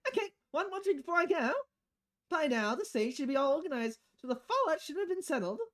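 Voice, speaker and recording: Lady doing a perky young pop idol girl for a game, super high and bouncy, showy and chirpy. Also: brisk. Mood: chatty. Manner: chirpy, animated, theatrical. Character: bubbly perky young female idol singer, showy and eager.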